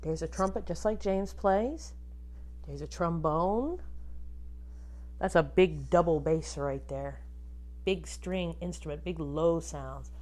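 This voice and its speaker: A woman teacher reading a picture book aloud to children expressively, pausing to ask them questions and point things out. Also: low voice. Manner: animated, addresses young children with questions.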